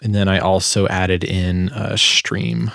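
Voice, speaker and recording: A man with a fairly low voice hosts a sound design walkthrough. He talks informally and a little haltingly, as if thinking aloud. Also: steady tone, gravelly, fairly bright tone, no room sound. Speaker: sound designer and video host. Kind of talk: casual tutorial walkthrough.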